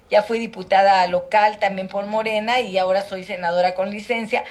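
A middle-aged woman with a Mexican accent, a senator answering interview questions in a measured, hesitant way with many fillers. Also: medium pitch. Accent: Mexican Spanish. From Mexico, Guerrero Costa Chica. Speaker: senator and political candidate. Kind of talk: phone or remote interview.

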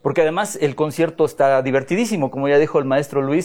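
Higher-voiced man, fluent and enthusiastic. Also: quick pace, darkish tone. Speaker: music journalist and musician, interview guest. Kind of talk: conversational explaining, promoting an event.

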